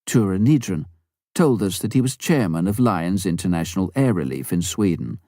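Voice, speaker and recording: A man reading a memoir aloud as scripted narration, with measured, expressive storytelling delivery. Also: low-pitched, dry recording, clean studio sound. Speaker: audiobook narrator.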